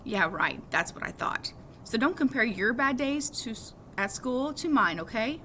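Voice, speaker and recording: A woman's voice: a little high, sing-song, moderate pace, bright, forceful tone, heavily acted. A woman voicing a stubborn, sulky young schoolboy in a novel read-aloud, curt, defiant and emphatic. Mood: bitter, defiant. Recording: clearly audible background noise.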